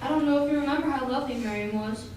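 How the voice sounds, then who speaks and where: A woman's voice: high-pitched, racing, a little mellow, natural voice. Woman as a genteel estate owner, cavernous echo. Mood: genteel, troubled. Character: genteel wealthy male estate owner hosting a reunion. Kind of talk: old-time radio drama acting. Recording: very echoey hall, distant mic, faint noise.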